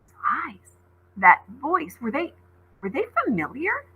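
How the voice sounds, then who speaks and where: A woman reads a story aloud as a teacher would, with dramatic narration and conversational asides. Her voice is forceful and bright, with a husky, breathy edge. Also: sits high, wildly swooping pitch, measured pace.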